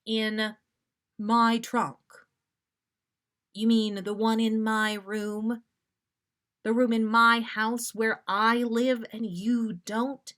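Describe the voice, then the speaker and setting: A woman's voice: a little high, little pitch movement, very slow, long pauses, fairly bright tone. Woman playing a dry, weary male werewolf. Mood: dry, incredulous. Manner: dry, exasperated.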